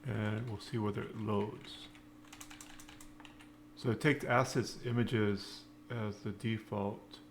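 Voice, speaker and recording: Guy doing a casual coding walkthrough, kinda halting, lots of vocal fry, bit of noise behind him. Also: lowish voice, fairly even intonation. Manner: hesitant, halting, unscripted phrasing. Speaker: programming tutorial presenter. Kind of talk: casual screencast tutorial, thinking aloud while coding.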